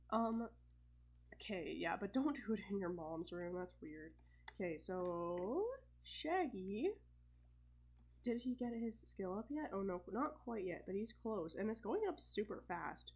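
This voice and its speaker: A young woman with a stuffed-up cold, casually commentating her gameplay in a chatty, think-aloud way with fillers and soft laughs. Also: mid-range voice, vocal fry, slightly rough, poor audio. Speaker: let's play host.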